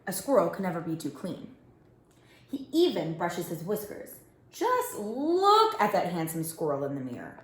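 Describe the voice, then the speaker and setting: A woman's voice: on the low side, wildly swooping pitch, normal speed, fairly bright tone. A woman working as a storyteller reads a picture book aloud expressively, addressing young children. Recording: roomy, echoing indoor sound.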